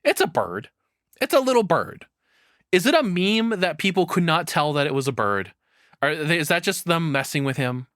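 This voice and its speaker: A man's voice: on the high side, very animated, moderate pace, a bit bright, dry recording, studio quality. A man giving casual reaction commentary as a streamer, rambling along and swearing freely.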